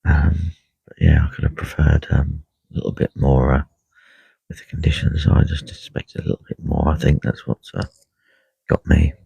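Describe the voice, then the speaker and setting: A man with a croaky voice talking quietly and unhurriedly on a live stream from a lake bank, full of ums and uhs. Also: a bass voice, flat delivery, normal speed, no room sound. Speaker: carp angler livestreaming. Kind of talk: casual live stream chat. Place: lakeside fishing swim outdoors. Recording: dull lo-fi live stream audio.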